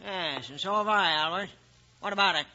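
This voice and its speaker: A man's voice: high-pitched, fairly quick, pushed and bright, exaggerated voice. An American man playing a sly, needling outlaw in an old radio western, with a thin, piercing voice. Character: sly, needling male outlaw card player. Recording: noisy, dull old radio recording.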